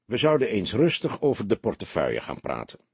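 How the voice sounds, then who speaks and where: A man voicing a calm, shrewd veteran police detective in an audiobook, measured and commanding. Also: lowish voice, gravelly, husky, no echo, full character voice. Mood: calm, courteous.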